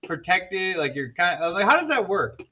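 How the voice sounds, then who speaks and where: A man's voice: sits high, sing-song, normal speed, bright, forceful tone, lo-fi sound. A man hosting a podcast with his ESL class, joking and teasing students in casual chat.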